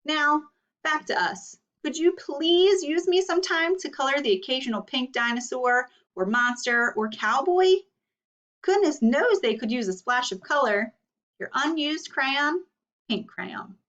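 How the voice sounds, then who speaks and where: A woman's voice: sits high, expressive, measured pace, a bit bright, recorded from a distance, dull audio. A woman voicing a sassy, neglected crayon in a storybook, pointed and demanding. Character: sassy, neglected pink crayon, bossy and proud.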